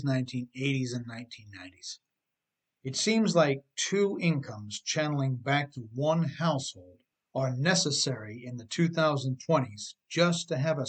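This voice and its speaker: Husky-voiced man reading his self-help book. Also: a little high. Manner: wry, sarcastic, rhetorical questions to the listener. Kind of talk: audiobook narration with conversational asides.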